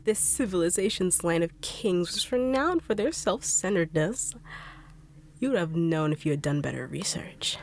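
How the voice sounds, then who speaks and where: A woman voicing a smug, cruel female treasure hunter who taunts in slow, purring tones with whispered asides. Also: lowish voice, lively intonation, a little mellow. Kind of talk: audio roleplay drama.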